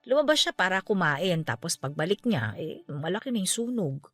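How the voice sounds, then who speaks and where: A low female voice over soft background music, a middle-aged aunt in a radio drama. She is grieving yet steadfast and caring, and talks in a natural, conversational way. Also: very animated, moderate pace, dry recording. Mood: resigned, practical. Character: grieving aunt who just lost her parents, caring, steadfast. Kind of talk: Tagalog radio drama acting, naturalistic dialogue. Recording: music bed under the voice.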